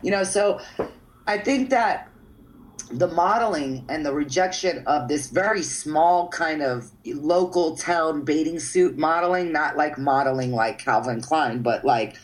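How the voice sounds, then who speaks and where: A woman's voice: deep voice, poor audio. Woman artist guest, loose and rambling, drops swear words, keeps checking you're with her. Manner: rambling, frequent "you know what I mean", casual swearing. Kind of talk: casual interview talk.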